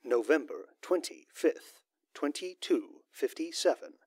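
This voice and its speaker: A man's voice: fairly high pitch, expressive, a little mellow, dry recording, clean studio sound. Man voicing a formal, impassive recorder computer. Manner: formal, deliberate announcing. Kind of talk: scripted system announcement in audio drama.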